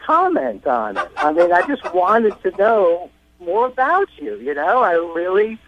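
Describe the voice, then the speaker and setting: A man's voice: high voice, sing-song, a little slow. A man calling in to a radio show, talking casually in an earnest, puzzled and defensive way. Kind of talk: casual conversation. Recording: dull, dry telephone line.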